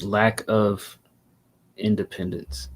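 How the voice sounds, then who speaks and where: A man in a casual chat over a video call, answering in a dry, teasing and measured way. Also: lowish voice, little pitch movement, husky.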